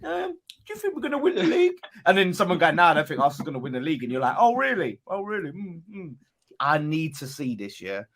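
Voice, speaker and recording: A man's voice: on the high side, wildly swooping pitch, fast, a bit bright. A man in casual podcast banter, teasing a co-host and mimicking people in a playful way. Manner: teasing, mimics others animatedly.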